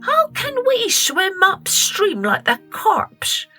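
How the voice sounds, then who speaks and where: A woman voicing a small, worried young male duck who talks in a high, anxious, pleading way. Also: wildly swooping pitch, takes it slowly, pushed and bright, faint music behind, full character voice.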